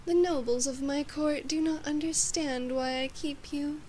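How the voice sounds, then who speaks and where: A woman voicing a haughty, domineering demon queen in an ASMR roleplay, measured and condescending, slipping at times into whispers. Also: high-pitched, steady tone, a little mellow, slight background noise. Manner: imperious, measured, condescending, drifting into breathy whispers. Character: haughty, domineering female demon queen addressing her human knight. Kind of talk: ASMR roleplay, scripted monologue.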